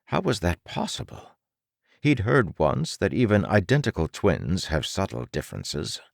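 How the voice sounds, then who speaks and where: A man's voice: deep voice, wildly swooping pitch, unhurried, gravelly, no echo, clean studio sound. A man reading an action story as an audiobook narrator in a dramatic, scripted style.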